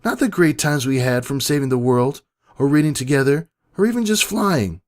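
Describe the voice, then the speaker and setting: A man's voice: medium pitch, lively intonation, moderate pace, pitched above the performer's own voice, close to an everyday voice. A man voicing a young female pegasus pony, brash and grief-stricken, in an emotional dramatic reading. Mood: anguished, guilty. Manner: emotional, pleading outbursts. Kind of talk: dramatic fan fiction reading. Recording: background music.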